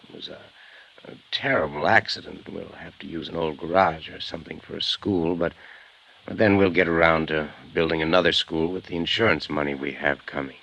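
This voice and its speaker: An actor playing a big, smug small-town boss in a 1950s American radio drama, smoothly dismissive and quietly threatening. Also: male voice, a bass voice, fairly even intonation, fairly quick, lo-fi sound, full character voice. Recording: vintage radio recording with faint noise.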